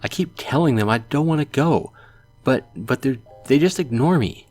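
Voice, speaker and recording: A man's voice: medium pitch, animated, moderate pace, a little mellow, soft background music. A man hosting a let's play, reading a visual novel aloud with wry asides.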